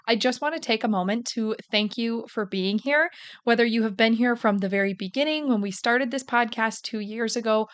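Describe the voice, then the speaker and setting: Raspy-voiced woman hosting a podcast. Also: a little high. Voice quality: raspy, tired-sounding. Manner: warm, encouraging, repeats thanks. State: hoarse, losing her voice. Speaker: podcast host. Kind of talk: casual solo podcast talk.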